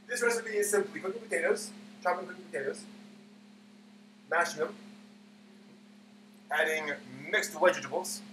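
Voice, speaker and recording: A man hosting a casual cooking live stream, explaining steps haltingly as he thinks aloud. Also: a little high. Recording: roomy, far mic, clear background hum.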